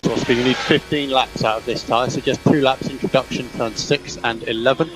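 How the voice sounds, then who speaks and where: Man, race engineer, team radio, clipped repeated instructions, medium pitch, clear music in background. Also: fairly even intonation, brisk, low-quality recording.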